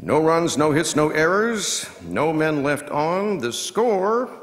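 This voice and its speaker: A man playing a seasoned, folksy baseball radio announcer, giving play-by-play with measured asides that break into punchy, drawn-out calls. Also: fairly high pitch, big pitch swings. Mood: punchy, excited. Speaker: reader performing a scripted baseball commentary. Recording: long hall reverb from live venue.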